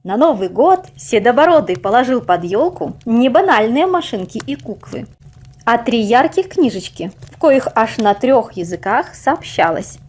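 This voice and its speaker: A woman reading a scripted story aloud as an expressive narrator. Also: on the high side, normal speed.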